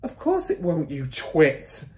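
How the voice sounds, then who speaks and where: A woman voicing a shrill, exasperated, scornful mother and wife from a children's story, shrieking and nagging. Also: big pitch swings, normal speed, dark tone, reverberant, close to an everyday voice. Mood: curt scorn.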